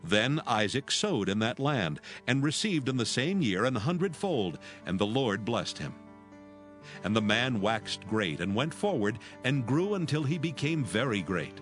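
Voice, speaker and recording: A man narrating scripture from a script in a formal, measured manner. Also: medium pitch, sing-song. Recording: music behind. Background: music.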